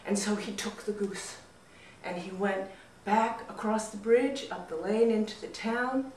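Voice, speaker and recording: Slow, dramatic woman storyteller in echoey room. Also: mid-range voice, a little mellow, slight background noise. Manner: deliberate, dramatic phrasing. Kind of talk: expressive folk tale narration. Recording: roomy echo, distant mic.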